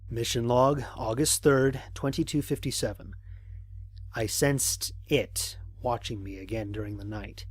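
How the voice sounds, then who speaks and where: A man playing an observant human space explorer, narrating scripted mission logs in a formal, measured, descriptive way with a slightly husky voice. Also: medium pitch, a little mellow, lightly acted. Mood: measured, wary. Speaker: voice actor narrating an audio drama. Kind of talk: scripted first-person narration, mission log.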